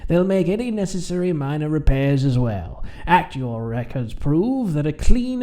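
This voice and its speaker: An actor playing a courteous heating expert, giving a formal, measured 1940s-style radio advertisement. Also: male voice, fairly high pitch, dark tone, lightly acted.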